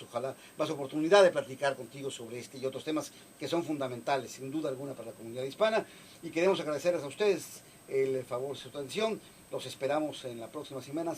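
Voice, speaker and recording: A man hosting a radio talk show, thanking his guest and signing off in a brisk, courteous manner. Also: mid-range voice, a little hiss or hum.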